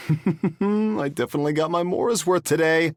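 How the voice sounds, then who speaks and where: A man's voice: on the high side, moderate pace, slight background noise, natural voice. A man playing an amazed, pleased everyday diner in a video game. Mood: delighted.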